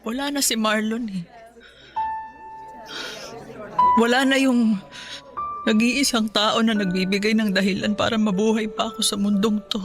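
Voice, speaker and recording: A high female voice with a Filipino accent, an actress playing a mother shattered by loss. She is bitter and raw with tears, in the heightened manner of radio drama, over faint music. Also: steady tone, moderate pace, lightly acted. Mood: despairing. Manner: tearful, emotionally raw delivery. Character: grief-stricken, bitter mother mourning her young son.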